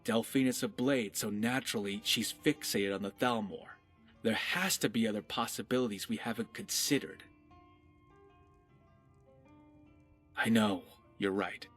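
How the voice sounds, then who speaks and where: Man playing a wry, low-voiced pirate adventurer. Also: normal speed, fairly bright tone, soft background music. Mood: earnest. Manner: dry, sardonic. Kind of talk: scripted fantasy game dialogue.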